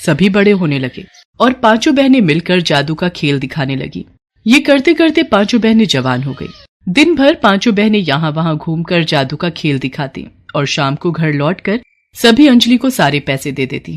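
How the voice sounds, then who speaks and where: A woman's voice: on the low side, big pitch swings, normal speed. Woman narrating a moral story.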